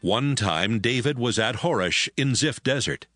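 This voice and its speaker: A man's voice: medium pitch, lively intonation, unhurried, fairly bright tone, faint music behind. A man giving scripted narration of a Bible story as the narrator.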